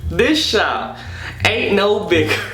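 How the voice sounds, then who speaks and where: A man telling a story casually and comedically, in an exasperated, animated, slangy way with plenty of swearing. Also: high-pitched, slow pace. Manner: animated, exasperated, profane, slangy with repeated "you know what I'm saying". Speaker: storytelling vlogger. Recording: echoey room with music behind.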